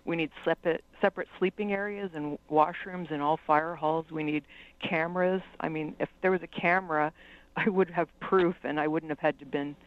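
A woman's voice: sits low, fairly even intonation, normal speed. Earnest woman guest over a dull line. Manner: earnest, pleading, lists demands. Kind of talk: radio interview.

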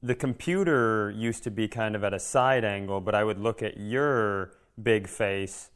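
American male podcast co-host, clear smooth voice, casual, rambling, crude humor. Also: lowish voice, unhurried, a bit bright. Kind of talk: casual comedic podcast chat.

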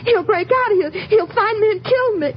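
An actress playing a frightened, stubborn young woman in a 1950s radio crime drama, pleading in a tremulous, halting way. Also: very high voice, lively intonation. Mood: terrified, tearful. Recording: dull, noisy 1950s radio recording.

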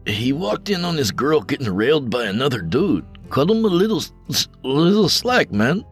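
A man's voice: medium pitch, sing-song, moderate pace, husky, faint music behind, pitched above the performer's own voice. A man voicing a tipsy, slangy, teasing male bar buddy in an audiobook story. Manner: joking, slangy.

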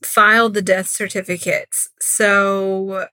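A woman co-hosts a true crime podcast in a casual, chatty storytelling style. Her voice sits at a middling pitch and is recorded close and dry. She slips into quoted lines as she tells the story. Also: level tone, takes it slowly, pushed and bright, dry recording, poor audio. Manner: informal, conversational storytelling with acted-out quotes. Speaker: podcast co-host.

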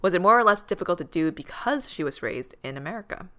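A woman co-host with a bright, forceful voice pitched a little low. She answers her co-hosts with quick exclamations and reads questions aloud in casual chat. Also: expressive. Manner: reacting with exclamations, then reading questions aloud. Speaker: podcast co-host.

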